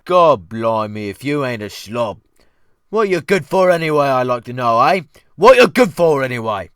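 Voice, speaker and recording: A man voicing a bellicose, sneering ship's cook in a dramatic audiobook, jeering and shouting. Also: medium pitch, very animated, moderate pace, smooth, no echo, studio quality. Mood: indignant, jeering.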